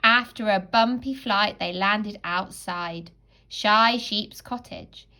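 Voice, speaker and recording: A woman narrating a children's storybook like a teacher, in a dramatic, deliberate and expressive way. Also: mid-range voice.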